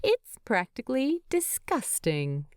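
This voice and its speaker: A woman narrating a story in an expressive, tender way. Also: on the high side, slow, clear, resonant tone, dry recording, clean studio sound. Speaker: fan fiction narrator.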